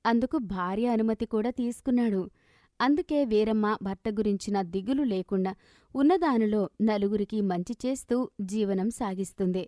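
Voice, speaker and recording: A woman tells a scripted moral tale as its narrator. Her voice sits at a middling pitch for a woman, and the recording is dry and close. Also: normal speed, warm tone, no echo. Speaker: story narrator.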